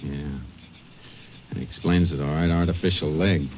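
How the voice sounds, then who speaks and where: Deep, laconic American lawman. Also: fairly even intonation, moderate pace, a little mellow. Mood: calm, probing. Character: calm, probing, laconic Texas Ranger investigator. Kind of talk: old-time radio crime drama acting. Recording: muffled, noisy vintage radio recording.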